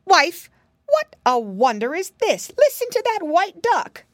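A woman voicing a king who calls out loudly and gives commands. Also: very high-pitched, wildly swooping pitch, a little slow, dry recording, clean studio sound, pitched above the performer's own voice, exaggerated voice. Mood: commanding. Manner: loud, calling out commands.

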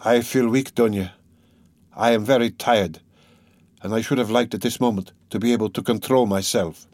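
A man voicing a tormented, exhausted young man, proud and bitter, with a brooding, halting intensity, in an audiobook. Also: fairly low pitch, darkish tone, no room sound, close to an everyday voice. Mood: weary, tormented.

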